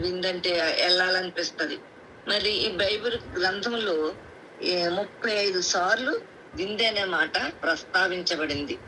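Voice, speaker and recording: A woman's voice: lowish voice, bright, forceful tone, low-quality recording. A woman with a coastal Andhra accent preaching a Bible sermon on a group call, explaining point by point, repeating phrases and asking rhetorical questions. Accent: coastal Andhra Telugu. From Andhra Pradesh, India. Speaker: lay preacher. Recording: faint background noise on conference call.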